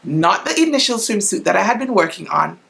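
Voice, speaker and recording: A woman's voice: mid-range voice, big pitch swings, brisk, gravelly, fairly bright tone, breathy edge. A woman talking casually through her sewing project in a chatty, self-deprecating vlog. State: stuffy and congested. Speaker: sewing vlogger.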